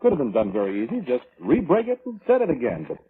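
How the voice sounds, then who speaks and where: A tinny, hissy vintage radio drama recording carries a weaselly brother giving testimony. His lowish voice swings widely as he whines, evades and justifies himself, halting and defensive. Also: huge pitch swings. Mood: indignant. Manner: defensive, whining, evasive, halting. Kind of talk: 1940s radio drama acting.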